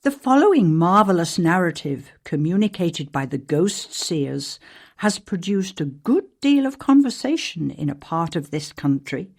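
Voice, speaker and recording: A woman narrating an archaic newspaper account as a ghost story, in a measured, formal storytelling manner. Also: fairly low pitch, a little mellow, breathy edge. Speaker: actor reading a historical newspaper article as a ghost story.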